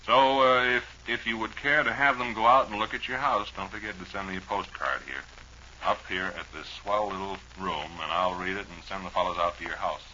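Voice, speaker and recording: Male old-time radio host, American, folksy ad-libber with a sharp, punchy tone; muffled archival sound with light hiss. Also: low voice, fairly quick. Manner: folksy, wry, rambling. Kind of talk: rambling ad-libbed old-time radio chat with live commercials. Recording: dull, noisy old radio broadcast recording.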